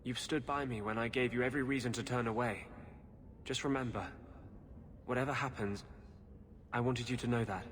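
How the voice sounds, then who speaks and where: An actor playing a troubled, earnest rebel mage in a fantasy game, heavy-hearted and resigned in manner. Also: male voice, medium pitch, steady tone, fairly quick, pauses often, a bit bright, slight background noise, lightly acted. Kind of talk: dramatic game dialogue.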